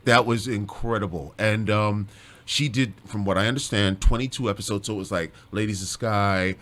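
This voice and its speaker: A man's voice: on the low side, normal speed, fairly bright tone. A man telling stories casually as a music group's guest in an interview.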